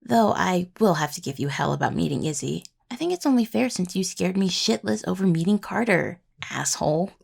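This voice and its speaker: A woman playing an affectionate, teasing necromancer in an intimate audio roleplay, talking softly and playfully to a partner, with a husky, gravelly voice. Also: fairly low pitch, animated, a little fast, hardly any pauses, heavily acted. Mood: warm, teasing. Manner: soft, playful, casual delivery with sighs and murmured asides. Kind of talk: intimate audio roleplay acting, addressed to a listener.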